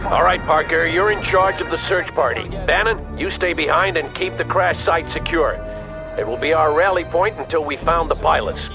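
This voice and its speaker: An actor playing a stern, decisive army officer giving clipped, authoritative orders in a video game. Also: male voice, on the high side, moderate pace, creaky, over music. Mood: brisk, commanding. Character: stern commanding male army officer, decisive battlefield leader. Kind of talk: video game radio-briefing acting.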